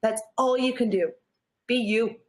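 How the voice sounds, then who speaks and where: A woman's voice: fairly high pitch, a little slow. A Canadian woman speaking as an interview guest, earnest and encouraging in a motivational chat. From born in Newfoundland, grew up in Nova Scotia, Canada. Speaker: interview guest, natural athlete.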